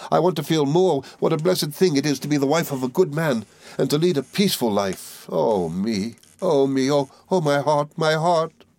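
A man's voice: mid-range voice, fairly even intonation, fairly quick, no room sound, pitched above the performer's own voice, lightly acted. A man voicing a tearful young woman in a storybook, agonised, repetitive and full of self-reproach as she pleads. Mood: desperate pleading.

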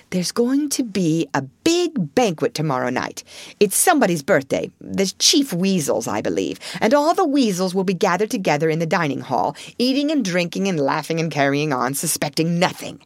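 A woman voicing a stern older male badger from a storybook, with a dry, authoritative and deliberate delivery. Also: fairly low pitch, dry recording. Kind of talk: storybook character voice.

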